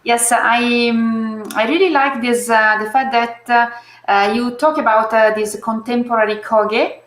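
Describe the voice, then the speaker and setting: A woman hosting an online artist talk, speaking haltingly with many fillers and restarts. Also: a little high, fairly even intonation, a bit bright, echoey room. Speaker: host moderating an artist talk.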